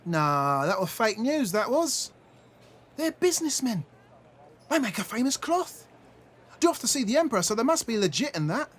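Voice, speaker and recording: Kindly British working-class shopkeeper, played by a man, high and animated, gossipy radio-play character. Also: normal speed, long pauses, heavily acted. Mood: friendly, gossipy. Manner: chatty, colloquial.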